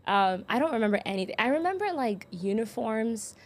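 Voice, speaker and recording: A woman's voice: on the high side, animated, brisk. Chatty laughing woman, podcast guest. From born in Ethiopia, raised in Florida. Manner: chatty and laughing, with frequent ums and likes. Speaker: podcast host, guest this episode. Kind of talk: casual interview conversation.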